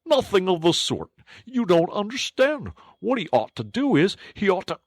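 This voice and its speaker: A man's voice: high voice, huge pitch swings, normal speed, breathy edge, no room sound, studio quality, pitched above the performer's own voice, heavily acted. A man voicing an agitated, complaining male mole in a storybook reading. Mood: insistent. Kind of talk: audiobook character voice.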